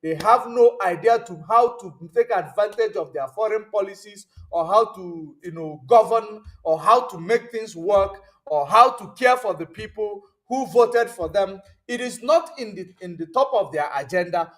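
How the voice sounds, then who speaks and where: A man's voice: high-pitched, normal speed, few pauses, fairly bright tone. A man hosting a political commentary livestream, rambling and answering viewer comments as he goes, often stopping to search for words. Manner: rambling, searches aloud for words with many ums. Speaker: host.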